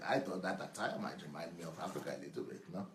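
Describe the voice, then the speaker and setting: A man's voice: low-pitched, fast talker, heavy background noise. A man, a pastor, teasing his congregation in a playful church talk before his sermon. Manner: playful, jokes and laughs often.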